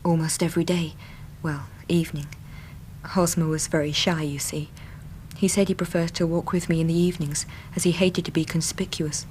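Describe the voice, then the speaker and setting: A woman's voice: low-pitched, level tone, moderate pace, darkish tone, a little hiss or hum, natural voice, poor audio. An actress playing an earnest, trusting young Victorian lady in a radio drama, speaking politely and properly. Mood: wistful, earnest. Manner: polite, proper, eager to please. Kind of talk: period radio drama acting. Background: music.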